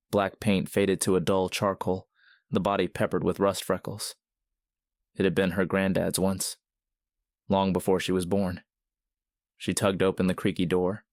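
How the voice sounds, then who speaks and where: A man narrating a scripted story in a measured, literary manner. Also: sits low, dry recording, clean studio sound.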